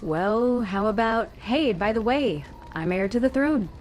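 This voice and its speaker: A woman voicing a calm, caring young female warrior heroine in a video game, speaking in a measured, gently probing way. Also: fairly high pitch, slight background noise. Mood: questioning. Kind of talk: video game dialogue acting. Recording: music behind game dialogue.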